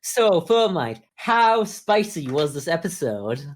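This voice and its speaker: A podcast co-host with a mid-pitched voice talks through a review in a casual way. The phrasing is informal and peppered with swearing. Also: very animated, slow.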